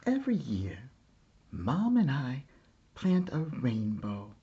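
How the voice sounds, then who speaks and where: A man reading a children's picture book aloud like a teacher, with deliberate, expressive phrasing and pauses. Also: medium pitch, breathy edge, lo-fi sound. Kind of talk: slow children's storybook read-aloud.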